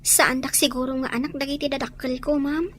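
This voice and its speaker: A woman acting as a meek young servant girl with a high voice. She is polite and downtrodden, in audio drama style. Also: level tone, brisk, slight background noise, close to an everyday voice.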